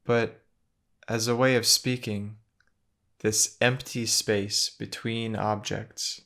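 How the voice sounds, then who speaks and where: Male meditation guide, lowish pitch, very unhurried delivery, gentle and measured with generous pauses. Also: level tone, gravelly. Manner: gentle, measured, with long pauses between phrases.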